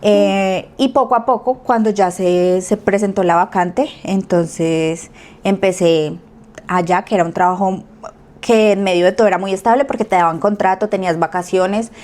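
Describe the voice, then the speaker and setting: A woman with a Colombian accent tells her story as a podcast guest in a relaxed chat. Her voice sits at an average pitch. She is animated and acts out quoted speech. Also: moderate pace. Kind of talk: casual storytelling.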